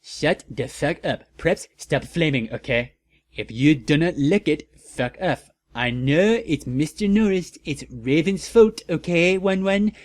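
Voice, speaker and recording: A man's voice: fairly high pitch, lively intonation, a little slow, no echo, voice pushed up. Casting: man as whiny, sassy teen goth fanfic writer, dramatic and emphatic, dry sound. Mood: indignant. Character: whiny, bratty teenage goth girl writer, defiant and dramatic. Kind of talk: mock-dramatic fan fiction reading.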